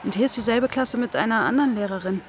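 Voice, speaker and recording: An actress playing a guarded, secretive woman with a hard past in a naturalistic radio drama, terse and halting, with a husky voice. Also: a little high, a little hiss or hum, close to an everyday voice. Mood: probing, wary. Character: guarded, secretive woman on the run, scarred mother.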